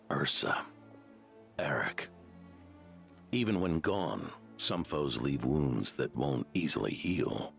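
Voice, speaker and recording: A gravelly, deep-voiced old knight from a video game, kindly and weary. He speaks formally and deliberately, with lively dramatic swings, over faint music. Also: exaggerated voice. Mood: somber. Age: older. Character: elderly veteran knight, benevolent, weary, grave storyteller.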